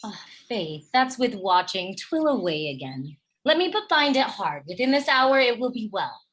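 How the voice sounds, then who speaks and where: An actress playing a tender, solicitous young noblewoman, a gentle and attentive wife in a Shakespearean radio play. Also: medium pitch, very animated, moderate pace. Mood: tender concern.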